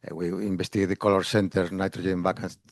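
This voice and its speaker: A man in the audience asking a researcher a question, halting and searching for words. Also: low-pitched, flat delivery, no room sound, clean studio sound.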